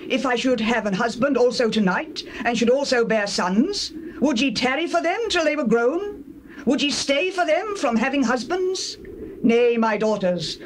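An actress playing a sorrowful older Hebrew widow, tender yet bitter, in a slow, solemn Bible audio drama. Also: female voice, fairly high pitch, natural voice, poor audio. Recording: hissy old cassette tape.

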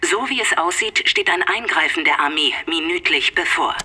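An actor playing a radio news announcer in an audio drama, formal and urgent. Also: male voice, fairly high pitch, moderate pace, bright, forceful tone, breathy edge, full character voice.

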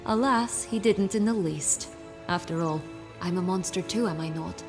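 A woman playing a haughty, cynical noblewoman with old wounds in a fantasy video game, measured and sardonic with a theatrical touch. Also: lowish voice, over music, close to an everyday voice. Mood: wry recounting.

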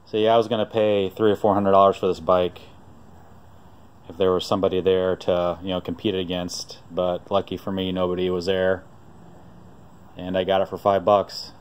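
A man narrating off-camera outdoors while filming a vintage find, telling the story in a relaxed, rambling way with frequent pauses. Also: sits low, clean tone. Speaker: vlogger showing a vintage find. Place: outdoors on a driveway.

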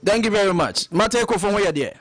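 A man from Ghana hosting a radio phone-in show, greeting callers briskly one after another. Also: high voice, animated, a bit bright, no echo.

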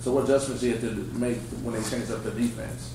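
A man reporter asks questions in a quick, casual, chatty way. His voice sits at a middle pitch. He is heard from a distance through a very echoey room, with some faint noise behind him. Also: little pitch movement. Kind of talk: press conference questions.

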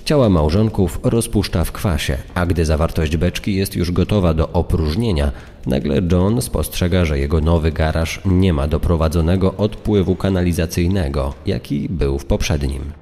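A man with a very deep, dark voice gives scripted documentary commentary. His tone is gravelly, and it rings in a long reverb. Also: normal speed. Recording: long reverberant hall effect.

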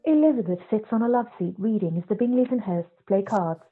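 A woman reading scripted stage directions as narrator of a drama, with a husky voice. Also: medium pitch, lively intonation, brisk, soft background music. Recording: dull, lo-fi video-call audio.

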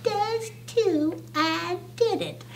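A woman's voice: very high-pitched, sing-song, takes it slowly, distant mic, a little hiss or hum, voice pushed up, full character voice. A woman voicing a sassy, teasing little country girl in a storybook, with a singsong, taunting, folksy way of talking. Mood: sniffy, defiant.

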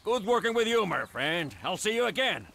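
A man's voice: high-pitched, big pitch swings, moderate pace, vocal fry, fairly bright tone, heavily acted. An actor playing a shady, over-friendly male businessman in a video game, gushing theatrically and easily flustered. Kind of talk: video game cutscene acting.

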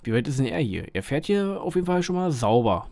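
A man's voice: fairly high pitch, very animated, fast talker, darkish tone. Chatty man with a Berlin dialect. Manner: chatty, rambling, thinks aloud with fillers. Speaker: gaming channel host. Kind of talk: casual gameplay commentary.

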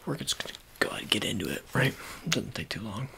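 A man in his thirties doing a soft-spoken, whispery ASMR doctor roleplay in a hesitant, halting mumble, close to the mic. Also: fairly low pitch, animated, creaky. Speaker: ASMR roleplayer playing an eye surgeon. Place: small dim room, indoors. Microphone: close to the mic, near camera. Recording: noisy close-up home recording with clicks.

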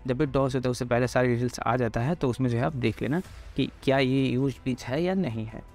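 Fast-talking Indian man explaining cricket. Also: mid-range voice, a little mellow, soft background music. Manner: repetitive, explanatory, addresses listeners directly. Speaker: cricket pitch report presenter. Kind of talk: informal explanatory sports analysis.

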